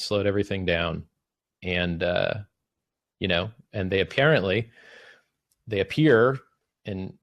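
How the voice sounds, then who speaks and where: Male debate host, very deep and gravelly, casual, filler-heavy, dry close sound. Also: dry recording. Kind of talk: spoken debate, summarizing the other side.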